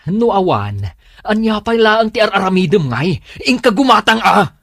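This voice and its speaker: An irritable, demanding young man in a radio drama, played by an actor. He complains curtly in a highish, very animated voice with a dry, close sound. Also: normal speed, no room sound, heavily acted. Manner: curt, complaining.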